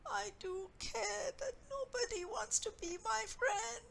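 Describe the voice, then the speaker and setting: A woman's voice: extremely high pitch, slow pace, vocal fry, a bit bright, slight background noise, pitched above the performer's own voice, exaggerated voice. A woman storyteller voicing a sensitive, earnest young girl with a plaintive, emphatic way of speaking. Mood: tearful protest.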